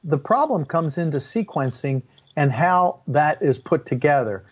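A man's voice: fairly high pitch, expressive, a little slow, husky. Older man explaining on a dull, lo-fi line. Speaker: interview guest, former airline and Air Force pilot. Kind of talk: explanatory interview talk.

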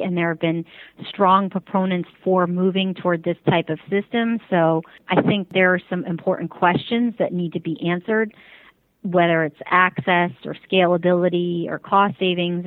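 A woman's voice: fairly low pitch, no echo. A woman giving careful, diplomatic interview answers as a spokesperson, heard over a tinny phone line. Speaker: interview guest, advocacy group spokesperson.

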